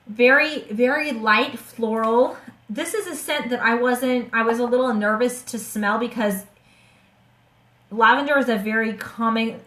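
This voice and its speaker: A hoarse woman hosting a live webinar, talking about essential oils in an eager, chatty way with many restarts and fillers. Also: a little high, fairly bright tone. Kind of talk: casual live-stream sales talk. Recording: echoey room.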